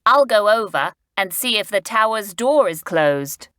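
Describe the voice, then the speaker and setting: A woman's voice: medium pitch, animated, measured pace, a bit bright, clear, resonant tone, dry recording, clean studio sound, voice pushed up. A woman voicing a confident, eager boy who leads a group of children in a storybook.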